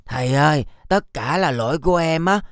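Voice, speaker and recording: Low-pitched cartoon schoolboy, heartfelt. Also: female voice, expressive, brisk, no room sound, clean studio sound. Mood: remorseful. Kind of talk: children's cartoon dubbing. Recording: loud music behind.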